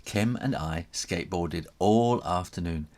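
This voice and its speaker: A man reading a children's story aloud as its author, theatrically, with dramatic pauses and whispery emphasis. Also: low voice, darkish tone.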